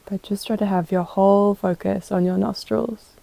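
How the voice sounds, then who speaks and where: A woman guiding a meditation as a yoga teacher, calm and gentle, giving unhurried instructions with pauses. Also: mid-range voice, creaky, dry recording. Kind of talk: guided meditation.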